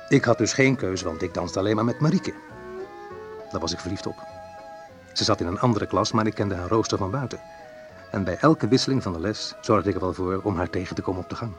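A man's voice: on the low side, close to an everyday voice. An actor playing a wistful, reflective man who recalls awkward teenage love in an intimate radio play monologue.

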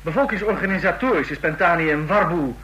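Pedantic regime official in radio drama: high-pitched, extremely sharp, formal. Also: male voice, fast, low-quality recording. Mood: critical, officious. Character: officious male bureaucrat of a police state. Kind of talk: radio play acting.

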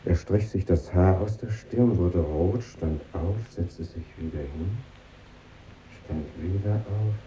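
An actor playing a brooding, introspective artist in a literary, deliberate manner, in 1950s radio drama style. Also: male voice, low-pitched, warm tone. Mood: wistful, pensive. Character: brooding male artist looking back on his schooldays. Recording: muffled, hissy old radio recording.

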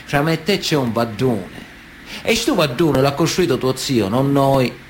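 An actor playing a stern, menacing Sicilian mafia boss in a radio play, speaking in blunt, deliberate, repetitive phrases with a Sicilian accent. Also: male voice, mid-range voice, animated, close to an everyday voice. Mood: cold menace. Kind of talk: dramatic radio theatre monologue. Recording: noticeable background noise.

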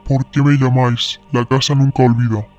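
An actor performs a menacing spectral woman from a haunted house. The delivery is slow and accusatory, barely varying in pitch. Faint music plays behind this horror audio drama voice. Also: flat delivery, darkish tone, muffled recording, lightly acted. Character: menacing ghostly woman haunting an old mansion.

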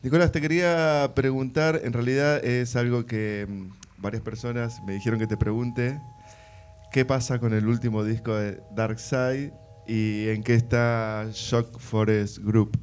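A man's voice: mid-range voice, little pitch movement, unhurried, darkish tone, soft background music. A man with an Argentine accent hosting and interviewing a guest on live radio.

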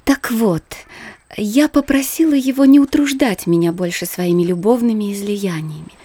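An actress playing a gentle, reserved young married woman who talks softly and confidingly in a radio play. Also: medium pitch, moderate pace, slightly rough, lightly acted. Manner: soft, restrained, confiding. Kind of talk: theatrical radio play acting.

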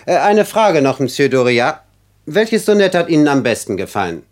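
A man's voice: a little high, very animated, moderate pace. An actor playing an eager, questioning young man of letters in a radio play. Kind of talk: German radio play acting.

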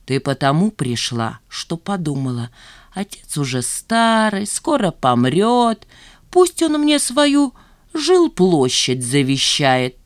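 A woman voicing a sly, self-righteous old father with a slow, drawling, needling delivery in an audiobook. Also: lowish voice, expressive, no room sound. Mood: suspicious. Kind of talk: audiobook character dialogue.

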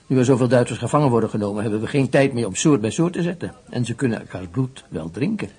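A military commandant of a prison camp in a radio play. The voice is husky and mid-pitched. He is assured and officious, with a brisk manner. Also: lively intonation, a little mellow.

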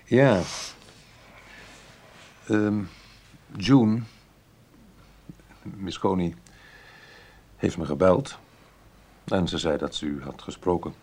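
A man acting a guarded, evasive civil servant in a radio drama, speaking in a halting manner. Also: deep voice, expressive, a little mellow, husky, heavily acted. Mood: guarded, defensive. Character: evasive, modest male civil servant, defensive about his affair.

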